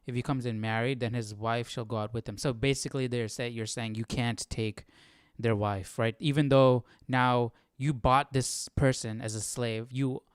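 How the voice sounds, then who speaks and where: Clear, close-sounding man thinking aloud. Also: medium pitch, fairly even intonation, moderate pace, hardly any pauses, no echo. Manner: questioning, thinking aloud, often seeking agreement. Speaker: host of a livestream Bible study. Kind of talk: reading aloud with casual off-the-cuff commentary.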